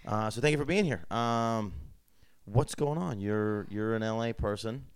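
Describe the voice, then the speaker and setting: A man's voice: lowish voice, normal speed, smooth, no echo. A young man hosting a podcast in a friend's apartment, talking in a loose, rambling, joking way and acting out little asides. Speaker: podcast host. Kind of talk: casual interview chat.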